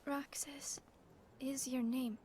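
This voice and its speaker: A woman playing a shy, soft-spoken young girl in a video game, gentle and hesitant. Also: high voice, little pitch movement, slow, a little hiss or hum. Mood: halting. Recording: game audio with music behind.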